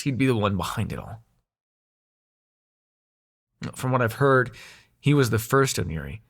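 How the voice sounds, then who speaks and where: A man's voice: on the low side, a bit bright, full character voice. A man acting a protective young dream god in an intimate audio roleplay, sweary and sarcastic yet tender, speaking conversationally and hesitantly to the listener.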